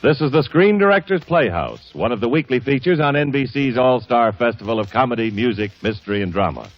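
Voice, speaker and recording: American radio announcer man, lively pitch swings. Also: brisk, faint music behind. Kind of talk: 1940s-50s radio announcing, scripted sponsor reads. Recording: dull old radio broadcast recording.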